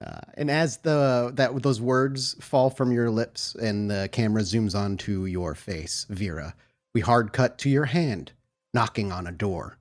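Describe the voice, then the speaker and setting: A man's voice: on the low side, a little slow. A man running a tabletop roleplaying game as storyteller, narrating and talking with the players.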